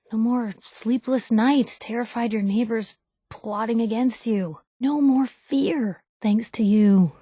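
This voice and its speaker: A woman's voice: a little high, a little mellow, no room sound, clean studio sound. An actress playing a plucky, outspoken young woman reporter, a video game companion with a wry, folksy, punchy delivery. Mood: awed, earnest. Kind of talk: video game voice acting, short reactive lines.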